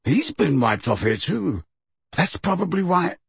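A man plays a bitter grown man who answers coldly and impatiently, his pitch moving a lot. The voice is husky and dry. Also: big pitch swings, unhurried, no echo, heavily acted. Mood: cold, curt. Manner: cold, curt, impatient. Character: bitter cold-spoken grown man, house heir.

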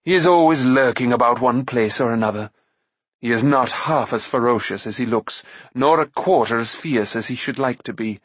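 A man's voice: mid-range voice, dry recording, close to an everyday voice. A man doing a stern warrior prince. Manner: firm, severe, impatient.

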